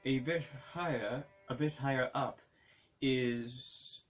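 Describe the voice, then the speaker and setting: A man reading a story aloud as narrator, halting and often repeating phrases as he goes. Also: mid-range voice, faint music behind. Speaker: reader, narrator.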